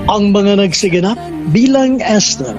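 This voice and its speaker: A man's voice: high voice, expressive, measured pace, lo-fi sound, music in the background. A man with a Filipino accent announcing scripted radio programme credits as host.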